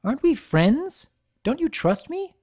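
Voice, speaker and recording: A man's voice: very high voice, big pitch swings, a little slow, darkish tone, pitched above the performer's own voice, full character voice. A man voicing a boastful, insistent pony mare in a story reading. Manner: defensive, insistent.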